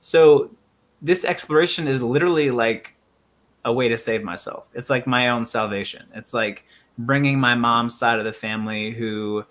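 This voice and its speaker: A man hosting a reflective conversation in a bright, forward voice. He speaks earnestly and thoughtfully, working through his ideas aloud with many ums and you-knows. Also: mid-range voice, normal speed. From United States. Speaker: musician and show host.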